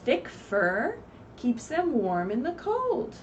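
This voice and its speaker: A woman reading aloud expressively at a children's story time, pausing to put simple questions to her young listeners. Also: on the high side, huge pitch swings, dull audio. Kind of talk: animated read-aloud with asides to young children. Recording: distant mic, faint background noise, livestream.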